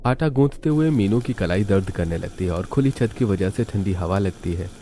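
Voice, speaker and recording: A man's voice: low voice, animated, normal speed, mellow. A man narrating a scripted cartoon story as the storyteller.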